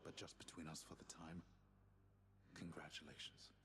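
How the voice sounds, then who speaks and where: A grave older warrior mentor from a video game. His deep voice is hushed and measured, formal in wording and quietly fond. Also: level tone. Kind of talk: video game character acting.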